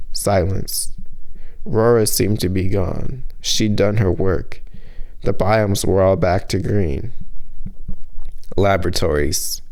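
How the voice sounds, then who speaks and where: A man narrating a fantasy audiobook as its author, reading in measured, terse phrases. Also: deep voice, gravelly, darkish tone, poor audio.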